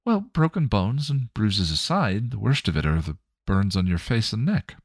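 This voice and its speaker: A man voicing a gentle, kind female spirit, a mother who speaks soothingly. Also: lowish voice, sing-song, moderate pace, slightly rough, dry recording, studio quality, pitched above the performer's own voice, full character voice.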